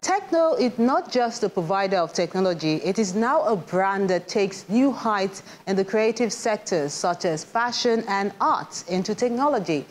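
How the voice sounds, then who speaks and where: A woman's voice: mid-range voice, expressive, normal speed, few pauses. Nigerian woman presenting, faint room noise. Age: young adult. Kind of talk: scripted TV presenting. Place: art gallery indoors.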